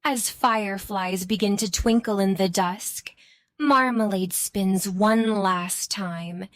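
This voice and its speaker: A woman's voice: medium pitch, slow, no echo. A woman reading scripted narration for a children's bedtime story.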